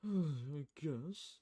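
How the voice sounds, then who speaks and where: A woman plays a male private eye from a noir visual novel. The voice is dark and mellow, with a grumbling, impatient manner as he fishes for information. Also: sits low, lively intonation, drawn-out words, husky, exaggerated voice. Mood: matter-of-fact. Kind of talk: visual novel character voice, film noir dialogue.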